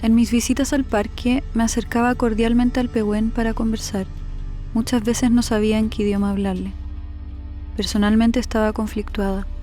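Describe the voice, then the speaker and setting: A woman from Chile narrates her own documentary, with a mellow, warm tone. She speaks quietly and introspectively, asking herself questions, over faint music in the background. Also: on the high side, little pitch movement, moderate pace. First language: Spanish. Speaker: filmmaker narrator.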